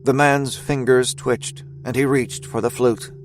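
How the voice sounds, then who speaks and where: A man's voice: medium pitch, fairly even intonation, a little slow. A man narrating scripted fiction as a storyteller in an audio drama. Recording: faint music under narration.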